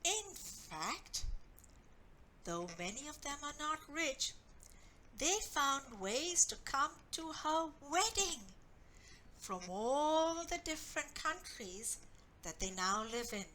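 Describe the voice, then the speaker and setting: Bright, high-voiced woman storyteller. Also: big pitch swings, slight background noise, low-quality recording. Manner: deliberate, dramatic phrasing. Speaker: author and storyteller. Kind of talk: expressive story reading.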